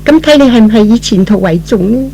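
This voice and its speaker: A man's voice: high voice, animated, quick pace, creaky, dark tone, a little hiss or hum, voice pushed up, poor audio. A man voicing a proud young woman in love, with a haughty, cutting manner, in old-fashioned radio drama style. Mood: scornful.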